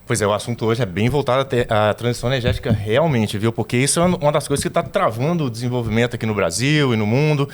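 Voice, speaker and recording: A man's voice: mid-range voice, quick pace, few pauses. A man co-hosting a podcast, asking the guest long, conversational questions. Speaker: podcast co-host. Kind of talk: casual interview questions.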